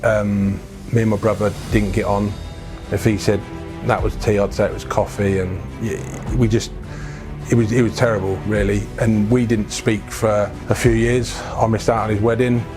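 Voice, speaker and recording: A middle-aged man from England talks through his career in a low, even voice that barely rises or falls. He tells it frankly, stopping and restarting as he goes. Soft music plays faintly behind him. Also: normal speed. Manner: storytelling, frank, with fillers and restarts. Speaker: business founder, guest. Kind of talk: casual interview.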